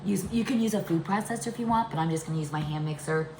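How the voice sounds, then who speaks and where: A middle-aged woman hosting a casual home cooking demonstration, her voice congested and deepened by a chest cold. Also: fast, a little mellow. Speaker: home cooking video host. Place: indoors, home kitchen. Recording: echoey room with background noise.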